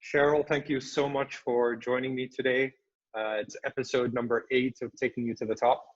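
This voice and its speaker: A male host puts questions to his guest and feels his way through them, stalling and restarting as he goes. His pitch is mid-range for a man. The audio sounds somewhat dull and lo-fi. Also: level tone, normal speed. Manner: hesitant, many fillers and restarts. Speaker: podcast host. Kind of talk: interview questions.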